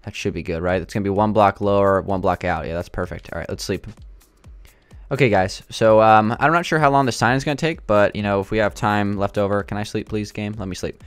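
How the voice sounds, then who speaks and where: A young man with a slightly raspy, sore throat, thinking aloud with lots of fillers as he builds in a game. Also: lowish voice, fairly even intonation, a little fast, dry recording, faint music behind. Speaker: gaming channel host. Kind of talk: casual gameplay commentary.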